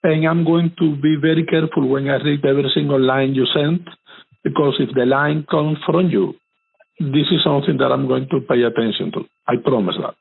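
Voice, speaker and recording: A man's voice: a little high, fairly quick, creaky, slightly rough. A man being interviewed who speaks formally and graciously, thanking often. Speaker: interview guest. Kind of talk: interview conversation. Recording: tinny phone-line call.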